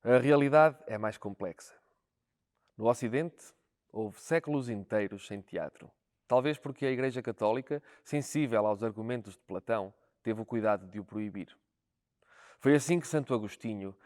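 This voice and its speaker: A man's voice: lowish voice, a little mellow. A man with a European Portuguese accent reading a scripted educational narration.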